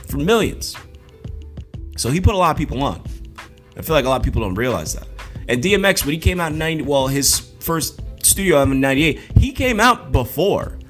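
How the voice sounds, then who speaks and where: A man's voice: on the high side, sing-song, brisk, fairly bright tone, faint music behind. A man in his forties co-hosting a casual podcast, rambling loosely with lots of "like" and swearing. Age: 40s. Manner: rambling, loose and profane, heavy on "like". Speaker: podcast co-host.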